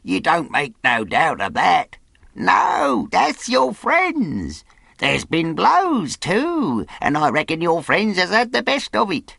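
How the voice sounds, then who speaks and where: A man's voice: high-pitched, vocal fry, no room sound, studio quality, voice pushed up, heavily acted. A man reading aloud, voicing a wild, half-mad old castaway who is eager and cunning and talks in rustic sailor dialect. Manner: rustic sailor dialect, pleading, drawn-out, excitable.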